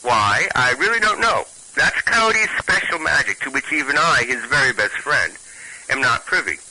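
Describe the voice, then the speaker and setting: A man with a Brooklyn accent, a storyteller and stand-up comic, chatting haltingly with many restarts and reading a comic tale aloud. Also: medium pitch, lively intonation, pushed and bright, poor audio.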